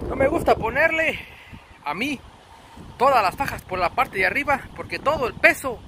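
A man with a Mexican accent narrating a casual trucking vlog, chummy and slangy, talking to viewers as buddies. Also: very high voice. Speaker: truck driver and vlogger. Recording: clear outdoor wind and vehicle noise.

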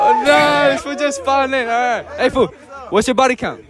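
A young man doing playful street interviews outdoors with a handheld mic, loud and teasing, laughing often. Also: extremely high pitch, moderate pace. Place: outdoor school courtyard with crowd. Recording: crowd noise and music behind.

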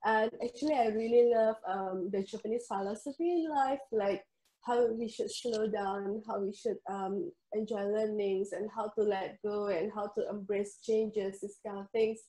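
A woman's voice: mid-range voice, fairly even intonation, moderate pace. A young woman with an Indonesian accent, a novelist answering interview questions thoughtfully over a video call. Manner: soft, thoughtful, frequent ums and laughs. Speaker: novelist guest. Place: indoors room at home. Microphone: headphones on video call. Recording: echoey video call audio.

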